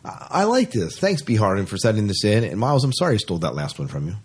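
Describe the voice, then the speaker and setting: Male podcast host, deep and slightly rough voice, chatty and keen, casual geek-show banter. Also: sing-song, fast. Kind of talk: casual sci-fi podcast chat.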